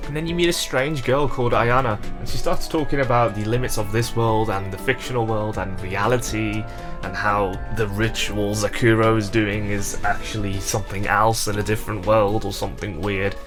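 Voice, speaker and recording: A man's voice: on the low side, steady tone, moderate pace. A man giving an informal, conversational visual novel review with wry asides. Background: music.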